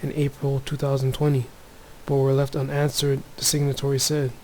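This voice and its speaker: Brief: low, mellow-voiced man with a Toronto accent, flat delivery, hushed home chat with faint background noise. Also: brisk, rough recording. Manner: speaks softly, many restarts and fillers. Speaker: religious video maker. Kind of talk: casual religious commentary, reading news aloud. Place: home room.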